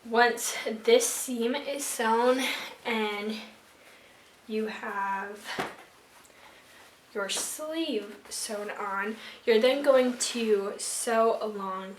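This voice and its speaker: A young woman in her twenties with a slightly hoarse voice from being sick, casually explaining sewing steps with lots of ums. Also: on the high side, extremely slow, long pauses, a little hiss or hum. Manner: chatty, filler-heavy explaining, many ums. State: hoarse, recovering from illness. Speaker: sewing vlogger. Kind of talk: casual tutorial vlog. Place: home sewing room at night. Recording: echoey room, distant camera mic.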